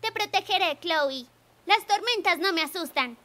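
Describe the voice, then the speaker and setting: A woman's voice: very high-pitched, lively intonation, moderate pace. A woman voicing a brave, sweet, protective little big sister in a children's cartoon dub, earnest and reassuring.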